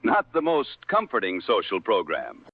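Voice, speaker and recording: A man playing a wry, musing 1960s radio host with measured, theatrical phrasing on a dull vintage broadcast. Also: lowish voice, huge pitch swings, no room sound, full character voice. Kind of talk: scripted 1960s radio show banter.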